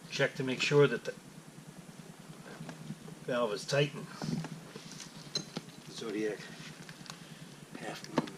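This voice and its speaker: Male, mid-pitched, casual how-to demonstrator, unscripted instruction over clear background noise. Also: slow, pauses often. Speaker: boat maintenance demonstrator. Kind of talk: casual hands-on tutorial narration. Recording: clearly noisy ambient background.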